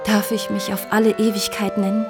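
A woman playing a seductive, scheming mistress in a radio drama, with a coaxing, theatrical delivery that often turns breathy. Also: medium pitch, level tone, a little fast, over music, close to an everyday voice. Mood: passionate, beguiling. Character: seductive, scheming married mistress, flattering and calculating. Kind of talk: German radio play acting.

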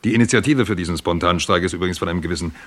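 An actor playing a confident informant in a radio crime drama, reporting in a clipped, matter-of-fact manner. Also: male voice, sits low, very fast. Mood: matter-of-fact, convinced.

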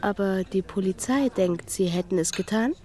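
An actress playing a sharp-tongued, world-wise former bar waitress in a German radio drama, sardonic and knowing. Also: female voice, mid-range voice, normal speed, lightly acted. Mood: probing.

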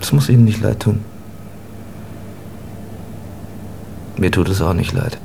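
An odd, detached man in a naturalistic radio drama. His low voice crackles with heavy fry and he speaks in laconic, matter-of-fact bits. Clear vehicle noise runs behind him. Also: fast talker, warm tone. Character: odd, detached stranger, a man waiting for a train. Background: vehicle noise and ambience.

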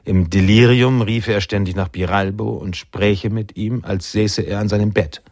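A man narrating a literary radio drama in a measured, sombre manner, with a gravelly, husky voice. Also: fairly low pitch, fairly even intonation, darkish tone, no echo, studio quality.

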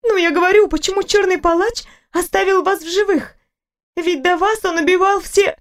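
A woman voicing a fussy, talkative young female nurse with a high, ringing voice. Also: fairly even intonation, moderate pace. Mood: chattering.

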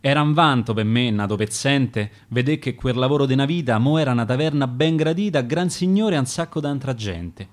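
A man's voice: medium pitch, expressive, fairly quick, few pauses, natural voice. A man with a Roman accent voicing a proud, hearty old dead grandfather who once ran a restaurant. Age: older.

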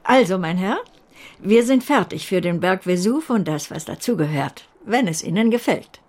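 Imperious older aunt for radio drama played by a woman, mid-pitched, brisk, outspoken, formal. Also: lively intonation, a little mellow, close to an everyday voice. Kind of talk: German radio play acting.

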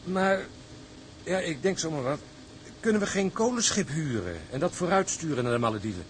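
A man's voice: a little high, expressive, moderate pace, slight background noise, lightly acted. An actor playing a light-hearted, optimistic and teasing tugboat crewman in a seafaring audio drama, speaking expressively. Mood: questioning, teasing. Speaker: actor and narrator in a radio drama. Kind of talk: Dutch audio drama acting.

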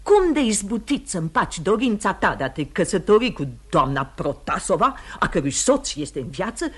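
A genteel, middle-aged aristocratic mother in a radio drama. Her husky voice swings widely in pitch as she moralizes, proud and anxious, with theatrical feeling. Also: big pitch swings. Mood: firm, reproachful. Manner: genteel, emotional, slips into French.

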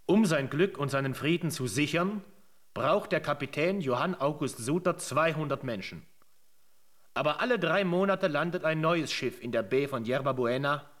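A formal, higher-pitched radio chronicler. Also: male voice, fairly bright tone. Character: chronicler narrating a colonial history. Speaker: narrator. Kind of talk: scripted radio drama narration.

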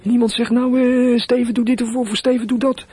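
A man's voice: extremely high pitch, flat delivery, normal speed, a little mellow, husky. A young man playing a troubled, resentful teenage runaway in a radio drama, muttering his thoughts and flaring up in indignation. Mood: defiant, resentful. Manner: muttering to himself, bursts of indignation. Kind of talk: radio drama acting with inner monologue.

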